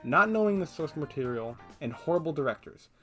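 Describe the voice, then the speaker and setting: A man's voice: on the high side, expressive, a little fast, pushed and bright. A man giving casual, opinionated scripted commentary as a video essayist, with a sarcastic streak. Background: background music.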